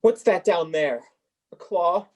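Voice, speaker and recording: A performer playing a fearful, repentant old miser in a radio play, halting and pleading. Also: medium pitch.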